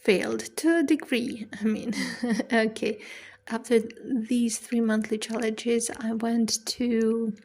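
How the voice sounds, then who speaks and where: Host type: woman, self-deprecating, chatty, rather monotone. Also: fairly high pitch, takes it slowly. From Serbia. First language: Serbian. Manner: chatty, self-deprecating, stops and restarts words. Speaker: language-learning channel host. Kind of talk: casual talk to camera.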